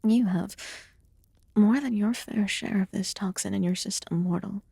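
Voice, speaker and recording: A woman voicing a calm, mysterious immortal witch in a soft-spoken ASMR fantasy roleplay, with formal, archaic phrasing and a breathy voice that often slips into whispers. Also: medium pitch, moderate pace, no echo, studio quality. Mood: calm, soothing. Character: calm, mysterious immortal witch tending a wounded human guest.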